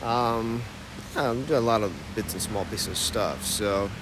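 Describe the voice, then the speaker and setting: A man's voice: on the low side, measured pace, background noise. A man in his thirties with an American accent, casually guiding a live-streamed walking tour outdoors, with lots of fillers. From Ohio, United States. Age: 30s. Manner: casual, chatty, many fillers. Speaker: tour guide. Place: outdoors on an old city street. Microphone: phone camera held while walking.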